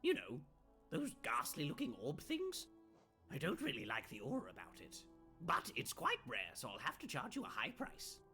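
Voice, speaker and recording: A man's voice: fairly high pitch, huge pitch swings, normal speed, pushed and bright, soft background music, full character voice. A man voicing a sassy, haggling shopkeeper who peddles relics in a video game, recorded at a distance. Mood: sassy, sly. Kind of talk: dubbed video game dialogue.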